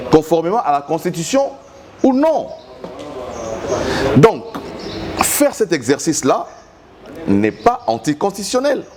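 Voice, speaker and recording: Male interview guest, lecturing and emphatic, animated pitch. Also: noisy background. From Congo. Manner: emphatic, lecturing, rising to stress points. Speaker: political guest. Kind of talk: political interview.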